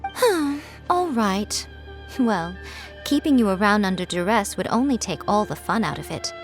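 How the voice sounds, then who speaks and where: A woman's voice: mid-range voice, lively intonation, a little slow, faint music behind, lightly acted. A woman voicing a sly, elegant head priestess in a video game, teasing and poised in a playfully manipulative way. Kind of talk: video game character acting.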